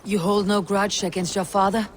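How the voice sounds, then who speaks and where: A woman's voice: mid-range voice, flat delivery, moderate pace, slight background noise, lightly acted. An actress playing a tough, blunt female Viking warrior in a game cutscene. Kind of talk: video game cutscene acting. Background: orchestral game music.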